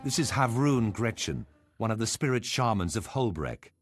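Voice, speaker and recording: Man as earnest plant-folk commander, formal and measured, animated intonation, fantasy game, loud score behind. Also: lowish voice, natural voice. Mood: resolute, formal. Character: solemn, scholarly male plant-folk marshal leading a war. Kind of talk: dramatic video game cutscene acting. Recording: voice over loud game music.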